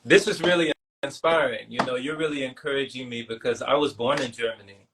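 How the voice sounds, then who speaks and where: A man in casual, reflective conversation, speaking appreciatively in halting, broken phrases. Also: medium pitch, heavy background noise.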